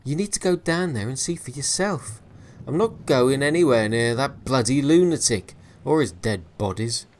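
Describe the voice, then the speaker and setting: A man's voice: medium pitch, normal speed, pitched above the performer's own voice, natural voice. A man voicing a surly male sailor who barks dismissively. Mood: irritable. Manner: barking, dismissive.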